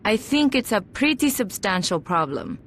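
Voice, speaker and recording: A woman's voice: mid-range voice, very animated, moderate pace, slight background noise. A woman playing a gloomy, resigned parlor keeper in a video game cutscene.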